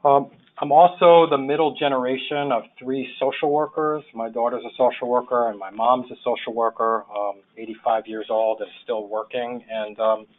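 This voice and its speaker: A sixty-year-old man with a New York accent giving an informal slide presentation in a halting, reflective way as a webinar presenter. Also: mid-range voice, steady tone. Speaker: webinar presenter, public health social worker. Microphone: phone or webinar call. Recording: thin phone-line webinar audio.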